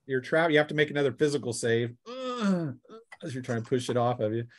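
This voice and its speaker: A man with a medium-pitched voice runs a tabletop role-playing game. He narrates combat and calls for dice rolls in an informal, conversational way, talking directly to his players as game master. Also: animated, a little fast.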